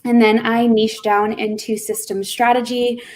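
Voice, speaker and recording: A chatty, upbeat woman tells her story as a guest on a video call panel. Her voice sits a little high. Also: level tone, a little slow. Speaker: business owner guest.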